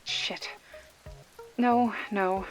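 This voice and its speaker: A woman's voice: medium pitch, steady tone, drawn-out words. A woman plays a young female video store clerk in an ASMR mystery drama, talking as if on a phone call. Her mid-range voice is dry and sarcastic, loose with swearing, yet protective underneath. Music runs behind her. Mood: edgy, reassuring. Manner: wry, snarky, casual with swearing. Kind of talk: ASMR roleplay acting, one-sided phone call.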